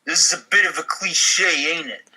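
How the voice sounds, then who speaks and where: A man's voice: a little high, normal speed, bright, forceful tone, slightly rough, rough recording. A man reading parts in a playful comedy table read. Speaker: podcast cast member reading parts.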